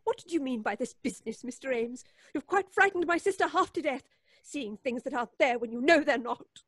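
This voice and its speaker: An actress playing a distressed, indignant, prim woman, emphatic and high-strung, in old-time radio suspense style. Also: expressive, fairly quick, fairly bright tone, no room sound, clean studio sound. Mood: indignant, sceptical.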